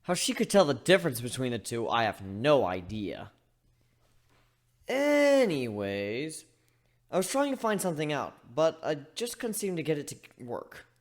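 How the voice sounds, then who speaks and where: A chatty male griffin voiced by a man tells his own fantasy story. His delivery is wry and conversational, wandering off into asides, and his pitch moves around in an animated way. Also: moderate pace. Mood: musing, rambling. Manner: conversational, rambling, self-interrupting. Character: chatty male griffin, wry, rambling first-person narrator. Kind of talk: dramatic reading of fan fiction, storytelling.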